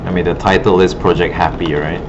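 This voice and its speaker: A man's voice: sits low, fairly even intonation, fairly quick. A man working as an art instructor, giving a casual classroom demo and thinking aloud informally as he works. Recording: muffled, noisy broken classroom audio.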